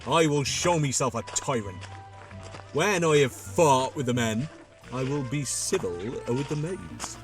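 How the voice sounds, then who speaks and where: Man acting a cocky, quarrelsome servant, medium pitch. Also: expressive, unhurried, over music, natural voice. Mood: boastful, bawdy. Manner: boastful, swaggering. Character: cocky, bawdy, quarrelsome young male servant. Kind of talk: Shakespearean audio play acting.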